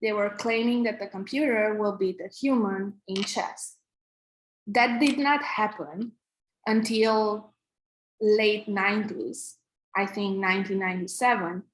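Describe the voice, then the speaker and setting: A Romanian woman in her thirties teaches a coding class over a video call, with a Romanian accent. She speaks slowly, pausing on fillers and restarting her sentences. Her voice carries the reverb of an echoey room. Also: medium pitch, fairly even intonation, a little hiss or hum. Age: 30s. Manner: slow, halting, many fillers and restarts. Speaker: coding instructor. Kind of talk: live online coding class.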